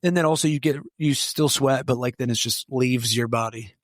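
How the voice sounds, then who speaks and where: A man's voice: mid-range voice, moderate pace, dry recording. A man in his late thirties co-hosting a podcast, easygoing and full of fillers in casual chat. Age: 30s. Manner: easygoing, filler-heavy, laughs along. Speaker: podcast co-host. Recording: dry close sound.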